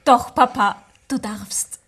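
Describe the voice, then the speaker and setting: A woman's voice: a little high, slow pace, fairly bright tone, breathy edge, heavily acted. An actress playing a determined, carefree teenage schoolgirl in a radio play, eager and reassuring.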